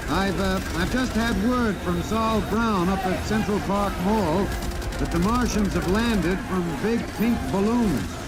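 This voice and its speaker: High-voiced old-time radio parade announcer. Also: male voice. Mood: excited. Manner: hesitant filler words, formal showman's address. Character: excitable male radio announcer reporting live on a parade. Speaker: radio reporter. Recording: old radio broadcast, music and noise behind. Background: music.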